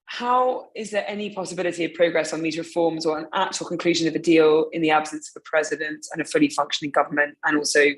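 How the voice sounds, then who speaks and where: A woman's voice: sits low, steady tone, fast, hardly any pauses, poor audio. A woman moderating a panel discussion, chatty and apologetic, reading out viewer questions with many fillers.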